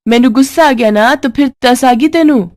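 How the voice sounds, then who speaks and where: A woman storyteller plays a young Punjabi daughter-in-law in a family tale. She speaks with a Punjabi accent in a raised pitch, brash, feisty and indignant. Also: normal speed, a little mellow, close to an everyday voice. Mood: combative.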